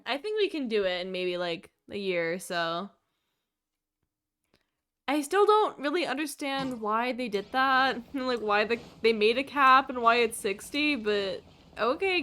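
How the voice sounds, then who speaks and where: Female streamer, young, fairly high bright voice, chatty and informal, relaxed pacing. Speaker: game streamer. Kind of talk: casual livestream chat. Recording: game music behind. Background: game music.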